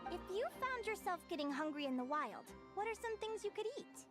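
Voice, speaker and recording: A woman voicing a tiny floating female fairy companion who is excitable and talks about herself in the third person, in video game dialogue. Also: very high-pitched, brisk. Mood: chirpy. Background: game music.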